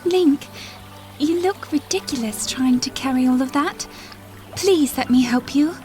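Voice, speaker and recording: High-voiced, gentle young princess played by a woman. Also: unhurried, faint music behind. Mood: concerned, insistent. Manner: polite, earnest. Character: gentle, polite young princess of a fantasy kingdom. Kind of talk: audio drama acting.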